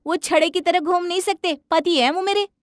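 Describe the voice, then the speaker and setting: A woman voicing an indignant, sharp-tongued young wife who insists on her way in a domestic cartoon story. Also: very high-pitched, normal speed, no echo, studio quality, pitched above the performer's own voice. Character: feisty, indignant young wife demanding equality.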